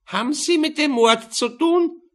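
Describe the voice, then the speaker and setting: A man's voice: very high-pitched, unhurried, a bit bright, pitched above the performer's own voice. A man voicing a confused, insistent woman of nearly eighty in an audiobook. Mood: earnest, insistent.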